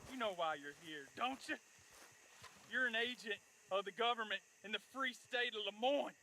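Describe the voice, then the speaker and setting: An actor playing a menacing, accusing raider outlaw in a western video game. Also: male voice, high voice, normal speed, bright, forceful tone, a little hiss or hum, rough recording. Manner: threatening, accusing. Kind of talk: video game character acting.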